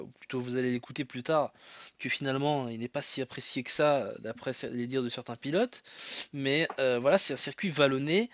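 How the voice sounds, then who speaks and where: A man's voice: mid-range voice, no room sound, muffled recording. Hesitant man hosting a solo podcast. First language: Portuguese. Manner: hesitant, many fillers and restarts. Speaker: podcast host.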